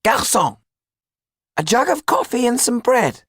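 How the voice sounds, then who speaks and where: A man voicing a sharp, tetchy, imperious schemer in a historical audiobook, in a creaky falsetto. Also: very animated, a little slow, no room sound, clean studio sound, exaggerated voice. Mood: impatient. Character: sharp, tetchy Frenchman scheming in a revolutionary cafe.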